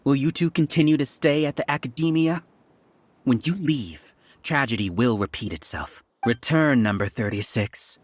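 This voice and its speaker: An actor playing an arrogant scholarly villain who rules a hive mind in a video game, speaking with grandiose, contemptuous, measured menace. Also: male voice, mid-range voice, lightly acted. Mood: cold, scornful. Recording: background music under dialogue.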